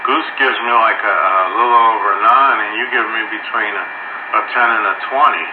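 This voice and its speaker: A man's voice: fairly low pitch, normal speed. A man making casual banter over a two-way radio as an operator. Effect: two-way radio transmission. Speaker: amateur radio operator on air. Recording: muffled, echoey radio with heavy static.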